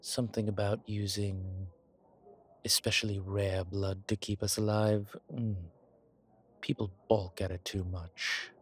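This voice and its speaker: A man acting a low, slow-spoken courtly vampire. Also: level tone, slightly rough. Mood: wry, confiding. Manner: genteel, formal, hesitant pauses, soft chuckles. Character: courtly old vampire man, grateful, suave, quietly amused. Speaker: character in a roleplay audio. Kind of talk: intimate one-sided roleplay audio drama.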